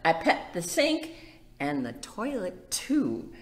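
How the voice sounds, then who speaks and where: A woman's voice: on the low side, wildly swooping pitch, slow, exaggerated voice. A woman voicing an eager, playful young woodpecker in a bouncy, singsong picture-book read-aloud. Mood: busy, gleeful. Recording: echoey room with background noise.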